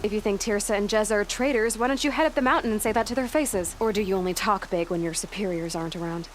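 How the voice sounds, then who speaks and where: A woman's voice: mid-range voice, fast talker, slight background noise, close to an everyday voice. A woman playing a young outcast huntress in a video game, direct, firm and determined. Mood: defiant. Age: young adult.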